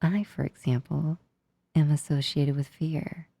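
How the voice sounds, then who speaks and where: A woman playing a female sleep paralysis demon in an ASMR roleplay, softly and intimately into a close microphone, often whispering, by turns teasing, menacing and awkwardly tender. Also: sits low, steady tone, dry recording, full character voice. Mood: gentle, soothing. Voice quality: soft, breathy, often whispering. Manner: slow, intimate, close-up delivery. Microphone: close, dry microphone.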